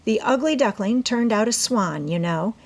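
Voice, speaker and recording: Woman voicing a genteel, self-assured teenage girl, higher-pitched. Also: expressive, moderate pace. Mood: confident. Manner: airy, important, sweetly persuasive.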